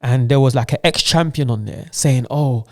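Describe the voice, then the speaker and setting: A man's voice: medium pitch, moderate pace. Chatty man, "you know what I'm saying". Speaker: podcast co-host. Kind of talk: casual chat.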